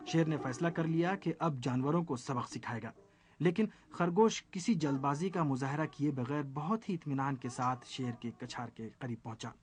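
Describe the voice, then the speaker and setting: A man's voice: fairly high pitch, moderate pace. A man narrating a scripted moral story for children as a storyteller. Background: music.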